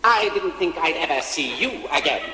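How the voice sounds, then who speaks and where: A man's voice: fairly high pitch, expressive, moderate pace, heavy background noise, natural voice. A man playing a sneering, irritable evil bishop in a theatrical radio comedy, in an echoey hall. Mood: mocking. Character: sinister bishop of darkness, irritable mocking villain master. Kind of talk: 1980s British radio comedy acting. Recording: echoey hall with long reverb.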